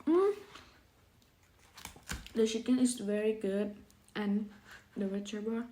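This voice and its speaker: A woman's voice: medium pitch, slow pace, mellow, a little hiss or hum. A woman vlogging casually about food, speaking in simple, hesitant English. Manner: hesitant, simple non-native English phrasing. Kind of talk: casual food vlog to camera.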